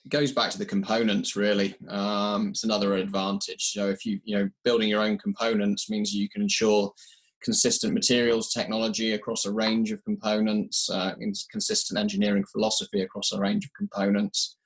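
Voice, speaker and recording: A man giving technical answers in an interview, measured and explanatory, pausing to find his words. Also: on the low side, little pitch movement, husky. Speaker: audio company representative being interviewed.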